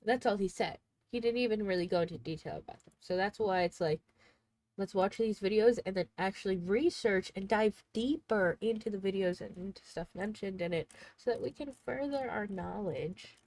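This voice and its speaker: A woman's voice: mid-range voice, normal speed, a little mellow, no echo. A young woman in her twenties streaming from home, congested and sniffly, chatting in a rambling, casual way. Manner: rambling, chatty, thinks aloud. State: congested, sneezing. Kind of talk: casual livestream chat.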